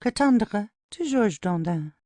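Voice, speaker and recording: A woman's voice: mid-range voice, expressive, takes it slowly, mellow, dry recording. A woman narrator reading stage directions as scripted narration.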